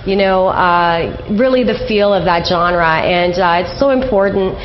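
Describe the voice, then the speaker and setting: A woman's voice: on the low side, unhurried. A woman hosting a radio interview in a casual, conversational style. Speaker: radio show host. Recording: dull lo-fi sound with background hiss.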